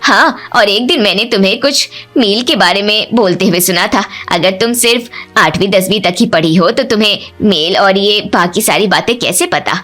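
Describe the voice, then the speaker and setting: A woman's voice: mid-range voice, normal speed, hardly any pauses, a bit bright, natural voice. Middle-aged suspicious mother-in-law female reader, cartoon drama, pointed questioning, middle register, light music. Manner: probing, pointed.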